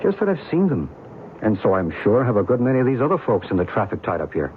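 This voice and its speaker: Mysterious all-knowing american in an old radio play, assured, lively melody, tinny recording. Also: male voice, on the low side, quick pace, a little hiss or hum. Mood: enigmatic. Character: calm, knowing, enigmatic American UFO believer and narrator. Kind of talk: old-time radio drama acting and narration.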